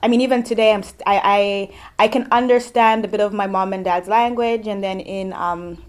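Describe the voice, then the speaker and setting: A woman's voice: medium pitch, fairly even intonation, normal speed. Chatty woman host from Zambia. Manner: chatty, loose questions with many likes and you knows. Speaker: podcast host. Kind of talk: casual interview.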